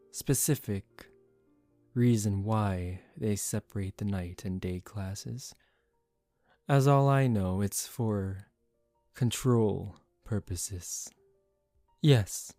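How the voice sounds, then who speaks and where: A man playing a composed young aristocratic vampire in a soft-spoken ASMR roleplay, calm, formal and gently commanding, protective yet secretive. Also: fairly low pitch, drawn-out words, dry recording, soft background music. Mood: gentle, reassuring.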